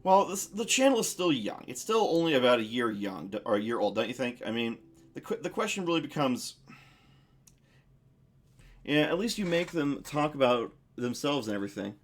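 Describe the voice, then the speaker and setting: A man's voice: medium pitch, big pitch swings, normal speed, faint music behind. A young man streaming and chatting casually, musing aloud with lots of restarts and fillers. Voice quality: masculine voice. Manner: rambling, reflective, many restarts and fillers.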